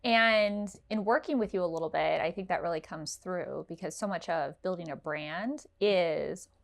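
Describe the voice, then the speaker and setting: Creaky-voiced woman hosting a chat. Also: on the low side, moderate pace. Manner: affirming, chatty. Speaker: interviewer, host. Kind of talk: casual chat interview.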